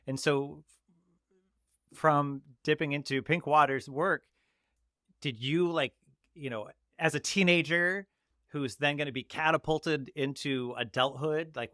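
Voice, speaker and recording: A man hosting a casual interview, asking friendly, halting questions with many restarts. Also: mid-range voice, sharp tone, no room sound, clean studio sound. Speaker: interview host.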